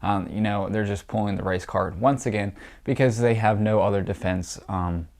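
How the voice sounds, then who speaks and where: A young man talks politics in a casual, scornful way, heavy on fillers. His allergies leave him stuffed up and nasal. His fairly low voice carries a gravelly fry. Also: steady tone, moderate pace, a little mellow. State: congested, stuffy nose from allergies. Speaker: political commentator.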